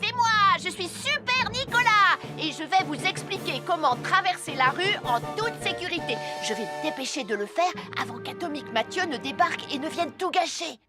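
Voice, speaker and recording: A woman's voice: very high-pitched, expressive, normal speed, hardly any pauses. A woman voicing a boastful, playful young boy who pretends to be a superhero in a cartoon dub. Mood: showy. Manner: showy, playful. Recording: cartoon dub with music bed.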